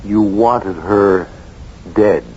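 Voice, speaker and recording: An actor in an old-time radio drama playing a composed doctor and family friend who questions in a deliberate, pressing way. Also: male voice, on the low side, a little mellow. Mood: commanding, hypnotic. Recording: dull old radio broadcast.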